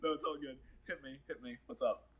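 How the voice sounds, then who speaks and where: A man's voice: medium pitch, fairly even intonation, a little fast, a bit bright, slightly rough. A man from the Boston area whose first language is Portuguese, chatting casually as an interview guest, rambling with lots of fillers and laughs. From Boston area, USA.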